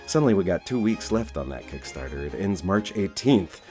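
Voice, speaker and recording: A man's voice: low-pitched, big pitch swings, moderate pace, a little mellow. A man hosting a podcast, moving between introductions and casual conversation. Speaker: podcast host. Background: music behind the voice.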